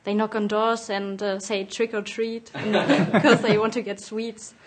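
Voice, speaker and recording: A woman's voice: mid-range voice, steady tone, a little slow. A woman from Germany, speaking English as a second language, answering a teacher's question in class and explaining step by step. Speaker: language student.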